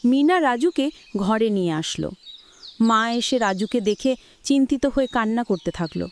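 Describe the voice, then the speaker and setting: A woman's voice: fairly high pitch, lively intonation, moderate pace, slight background noise. A woman narrating a scripted children's story as the storyteller.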